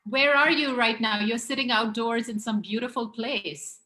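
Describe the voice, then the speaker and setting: Lady host chatting with kids, high bright voice, encouraging, lots of little affirmations. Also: moderate pace. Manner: encouraging, often chiming in with short affirmations. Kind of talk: interviewing children in a casual group chat.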